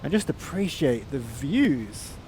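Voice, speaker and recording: A man's voice: medium pitch, big pitch swings, takes it slowly, a little mellow, faint music behind, low-quality recording. A man giving casual, enthusiastic commentary while playing a flight simulator. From outside the United States. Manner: chatty, enthusiastic. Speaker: flight sim content creator.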